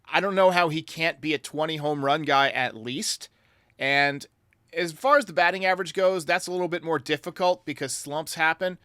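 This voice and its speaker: Bright, clear-voiced male sports host. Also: on the high side, dry recording, studio quality. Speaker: podcast host. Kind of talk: sports commentary answering fan voicemails.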